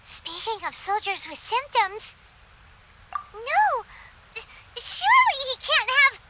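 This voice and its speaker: An actress voicing a tiny, excitable childlike companion in a game cutscene, squeaky, stammering and easily alarmed. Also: female voice, takes it slowly, heavy background noise, heavily acted. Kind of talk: video game cutscene voice acting.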